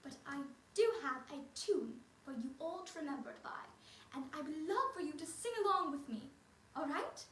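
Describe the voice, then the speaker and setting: A woman's voice: high-pitched, animated, moderate pace, fairly bright tone, slight background noise. A woman playing a cheerful, prim nanny, speaking in an encouraging sing-song way to unseen children. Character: cheerful prim female nanny, young adult. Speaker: costumed character performer for children. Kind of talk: in-character children's activity video. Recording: roomy sound from a distant mic.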